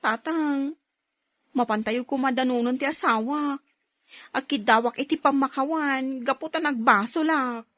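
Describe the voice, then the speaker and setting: A woman acting a nagging, quick-tempered young wife with an Ilocano accent in an amateur audio drama. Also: sits high, a bit bright, no echo. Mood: remorseful. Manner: scolding, emotive.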